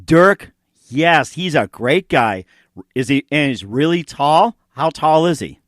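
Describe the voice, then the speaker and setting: Gushing male podcast host, higher-pitched voice. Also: expressive, slow, fairly bright tone, no echo, studio quality. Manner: gushing, effusive. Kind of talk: enthusiastic interview chat with read sponsor plugs.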